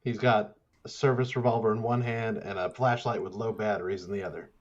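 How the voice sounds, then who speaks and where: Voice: medium pitch, steady tone, fairly quick, muffled recording. A player improvising casually in a tabletop roleplaying game, teasing and joking along.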